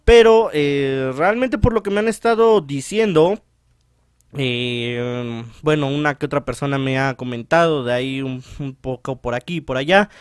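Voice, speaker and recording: A man hosting a visual novel let's-play, chatting casually and reading the text aloud in a halting way, often correcting himself. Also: mid-range voice, smooth, no echo, clean studio sound. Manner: halting read-aloud with stumbles and self-corrections. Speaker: gaming channel host.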